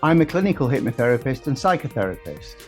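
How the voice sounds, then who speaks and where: British male co-host, animated delivery, mid pitch, light music bed. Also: quick pace. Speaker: podcast co-host, hypnotherapist. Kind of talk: podcast intro and hosting.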